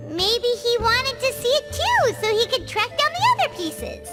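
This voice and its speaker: Little fairy girl, extremely high squeaky voice, swooping intonation, cheerful, curious, video game. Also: sing-song, a little slow, music in the background, heavily acted. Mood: pondering. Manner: chirpy, exclamatory. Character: small excitable childlike floating fairy girl, cheerful and curious.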